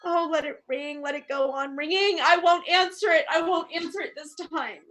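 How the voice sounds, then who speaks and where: A bedridden woman in a radio drama, very high and piercingly bright in voice. She is nervous and imperious, fretting and complaining with melodramatic indignation. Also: normal speed. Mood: anxious, fretful. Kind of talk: radio play acting.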